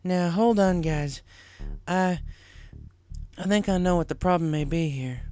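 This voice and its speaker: Man as female farm pony, raised pitch, steady, plain-spoken, storybook character. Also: measured pace, smooth. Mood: hesitant, concerned.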